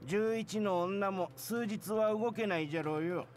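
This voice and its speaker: A woman's voice: medium pitch, a little fast, darkish tone, slight background noise, natural voice. An actress voicing a playful otherworldly girl with an amused, old-fashioned way of speaking in a visual novel. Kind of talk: Japanese visual novel voice acting.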